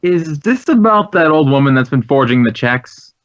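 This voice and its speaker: An American actor playing an earnest, courteous and talkative director of a drama school in an old radio drama. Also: male voice, on the high side, animated, moderate pace, a bit bright, dry recording. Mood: puzzled, chatty. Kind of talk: 1950s radio acting. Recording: dull, dry old radio recording.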